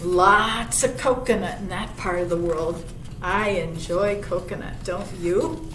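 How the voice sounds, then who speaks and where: A woman reading a children's picture book aloud as a library storyteller, pausing for chatty asides and questions to young listeners. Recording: roomy, distant mic with clear low rumble noise.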